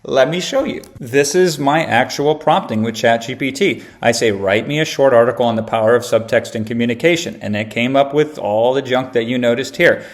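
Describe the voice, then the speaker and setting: A man's voice: medium pitch, a little fast, few pauses. Brash, slangy man giving a tutorial. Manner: brash, slangy, teasing the viewers. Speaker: marketer and copywriter presenting a tutorial. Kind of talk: casual conversational tutorial with read-aloud examples.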